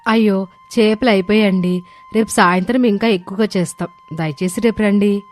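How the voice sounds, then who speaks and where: A woman's voice: medium pitch, normal speed, soft background music, natural voice. A woman playing a respectful, earnest young daughter-in-law in a village cartoon moral story. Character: hard-working, polite young village daughter-in-law selling food. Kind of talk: dramatised moral story dialogue.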